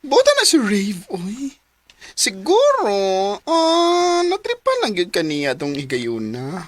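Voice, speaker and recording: An actress playing a lively, coaxing young best friend in a radio drama, with a Cebuano Filipino accent. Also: female voice, mid-range voice, takes it slowly, no echo, full character voice. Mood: teasing, persuasive. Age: young adult.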